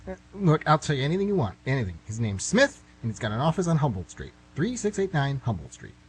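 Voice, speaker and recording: A man's voice: mid-range voice, wildly swooping pitch, fast. Bewildered thirties writer, played by a man: stammering, jumpy intonation, comic radio play, faint hiss and hum. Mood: anxious. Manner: hesitant, stammering. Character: nervous, bewildered thirty-three-year-old male writer in hell. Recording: noisy amateur recording with hum.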